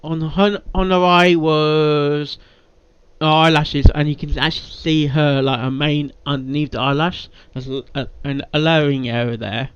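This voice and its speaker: A person giving casual, unscripted reaction commentary in an excitable, halting way, often repeating words. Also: mid-range voice, clean tone, dry recording, lo-fi sound. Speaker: reaction video maker reviewing a fan fiction reading.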